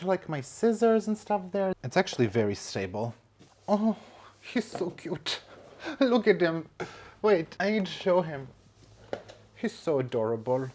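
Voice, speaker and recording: A plant vlogger talking casually at home, joking at their own expense and cooing over new plants. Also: mid-range voice. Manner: chatty, self-mocking, coos at plants. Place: home room, indoors.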